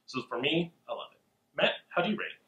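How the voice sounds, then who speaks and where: A man with a bright, forceful voice chats through a board game review with easy pauses and fillers. His voice rings in an echoey room. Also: fairly high pitch. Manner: relaxed, halting with fillers. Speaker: podcast co-host.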